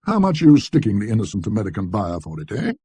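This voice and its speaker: A teasing, self-important gentleman collector played by a male narrator speaks in clipped, bossy phrases. His voice is pitched up and lively, with a husky edge, as in an audiobook ghost tale. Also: fast, dry recording, full character voice. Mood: ironic, haggling.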